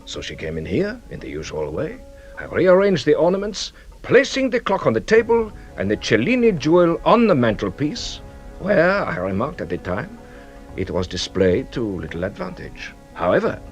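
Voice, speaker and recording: Detective, radio drama, self-assured, courteous, very lively intonation, savours each revelation, music underneath. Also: male voice, on the high side, highs cut off. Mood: triumphant explaining. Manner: theatrical, deliberate explaining, savouring each revelation. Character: shrewd theatrical French police detective, courteous and self-assured.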